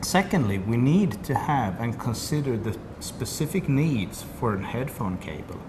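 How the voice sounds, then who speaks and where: A man's voice: medium pitch, big pitch swings, a little slow. A man from Sweden with a Swedish accent, a company representative explaining a product in detail during an interview. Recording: noisy room ambience.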